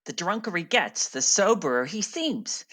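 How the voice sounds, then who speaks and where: A cynical, impatient stage manager, brusque and salty. Her radio play delivery is bright, lively in pitch and wry. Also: moderate pace. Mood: wry, irritated.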